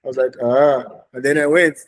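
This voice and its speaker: A man's voice: medium pitch, normal speed, a little hiss or hum. A man, earnestly telling his story as a podcast guest, repeating phrases for emphasis. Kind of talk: casual podcast interview.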